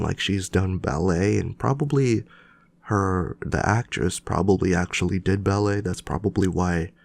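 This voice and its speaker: A man's voice: low voice, creaky, darkish tone. A young man with a cold and a nasal, stuffed-up voice, rambling casually as he hosts his solo podcast with many fillers and restarts. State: sick with a cold. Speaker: podcast host.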